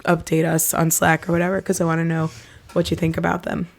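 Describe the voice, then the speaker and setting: A woman co-hosting a podcast who is losing her voice, so it comes out gravelly with heavy fry. Her pitch sits a little low, and she teases playfully in casual chat. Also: monotone, quick pace. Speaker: podcast co-host.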